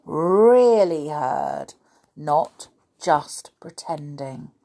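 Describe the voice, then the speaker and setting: Scheming storybook clown toy, read by a woman: calm, conspiratorial, hushed, unhurried pace. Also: sits low, gravelly, full character voice. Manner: hushed, deliberate conspiratorial delivery. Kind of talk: children's storybook reading.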